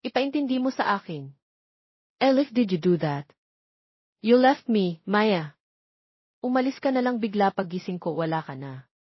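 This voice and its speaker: A woman's voice: medium pitch, sing-song, a little slow, pauses often, breathy edge, dry recording, highs cut off. A woman voicing a hurt, earnest young father in a romance audio story, reproachful and pleading. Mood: bitter, hurt. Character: hurt, pleading young father confronting his lost love.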